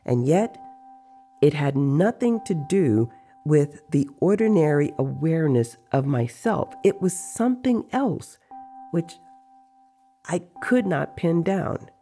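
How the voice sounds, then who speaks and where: A narrator telling a dramatized story in a measured, deliberate way with pauses. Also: medium pitch, sing-song, mellow. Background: music.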